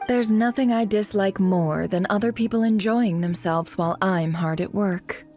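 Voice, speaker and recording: A woman's voice: medium pitch, normal speed, a little mellow, soft background music, lightly acted. An actress voicing a proud, lazy, sardonic young woman boss in a video game, with an arch and teasing delivery. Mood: wry, bossy. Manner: arch, teasing, self-satisfied. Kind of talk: English video game dub acting.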